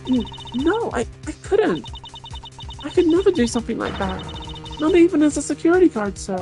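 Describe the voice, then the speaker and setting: A nervous young female security guard, as a streamer puts her on, pitched well up and stammering. Game music plays underneath. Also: big pitch swings, moderate pace. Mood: flustered. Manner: flustered, stammering. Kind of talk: fan voicing of a video game.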